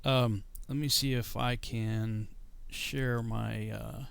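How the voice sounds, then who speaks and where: A man's voice: fairly low pitch, steady tone, slow pace, creaky, fairly bright tone, clean tone. A middle-aged man on a video call from his home study, explaining things in a loose, rambling way with many fillers and restarts. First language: English. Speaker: guest explaining scripture.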